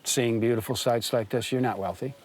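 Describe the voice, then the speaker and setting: A man's voice: medium pitch, steady tone, a little fast. Older American man, easygoing farmer. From Detroit, USA. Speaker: farmer and winemaker. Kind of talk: casual interview chat.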